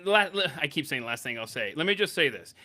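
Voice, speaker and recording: A man's voice: a little high, big pitch swings, quick pace, pushed and bright. A man hosting a live stream in casual chat, teasing and steering the conversation. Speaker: podcast live stream host.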